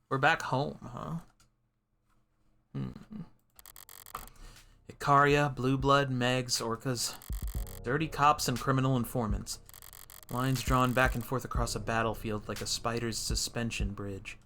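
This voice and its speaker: A man's voice: mid-range voice, fairly even intonation, measured pace, pauses often, sharp tone, a little hiss or hum. A man voicing a wry, brooding defense attorney in a world-weary noir game monologue. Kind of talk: noir visual novel narration.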